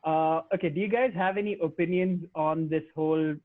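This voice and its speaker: A man's voice: a little high, steady tone, fairly quick, no echo. A man co-hosting a podcast and talking casually. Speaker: podcast co-host. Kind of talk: casual chat. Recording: dry, slightly dull audio.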